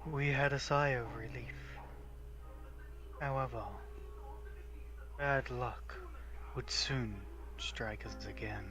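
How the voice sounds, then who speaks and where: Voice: mid-range voice, fairly even intonation, slow pace, long pauses. A giggly, playful game streamer who switches between casual chat and dramatic read-aloud narration, with game music behind. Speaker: streamer reading a visual novel.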